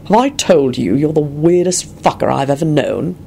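A woman's voice: low-pitched, expressive, moderate pace, creaky, dark tone, a little hiss or hum. Woman voicing a brash, swooping, irritable man. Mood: pleased, gruff. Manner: blunt, emphatic. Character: brash, foul-mouthed, irritable man, gruffly affectionate.

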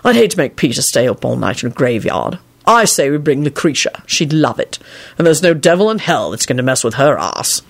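A woman voicing an impassive, sardonic, gruff grown man in an audiobook, dispassionate and muttering. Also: very deep voice, big pitch swings, brisk, full character voice. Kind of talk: audiobook character voice.

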